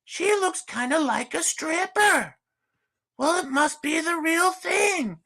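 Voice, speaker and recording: A man voicing a gushing woman host in falsetto on a comedic livestream, starting almost every line with "well". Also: lively intonation, slow, pushed and bright, heavily acted. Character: shrill fake woman hostess, gushing. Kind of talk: comedic livestream character bit.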